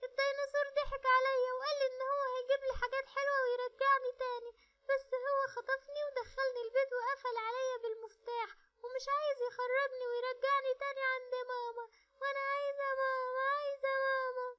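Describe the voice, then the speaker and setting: A woman's voice: very high-pitched, little pitch movement, fast, sharp tone, pitched above the performer's own voice. A woman voicing a frightened, tearful little girl with an Egyptian accent, pleading and whimpering. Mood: crying. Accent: Egyptian Arabic.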